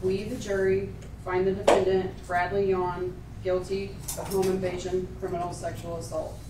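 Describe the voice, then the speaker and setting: Flat-toned woman clerk in a roomy courtroom. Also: on the low side. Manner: measured, formulaic, official. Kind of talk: formal reading aloud of verdicts and jury poll. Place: indoor courtroom. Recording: roomy, distant courtroom pickup with clear noise.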